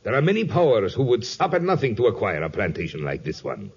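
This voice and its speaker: A man's voice: lowish voice, wildly swooping pitch, fast, darkish tone, slight background noise. An actor playing a domineering, suspicious plantation owner and father in a 1950s radio drama, formal and self-justifying in manner. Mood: stern, defensive. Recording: dull vintage 1950s radio recording.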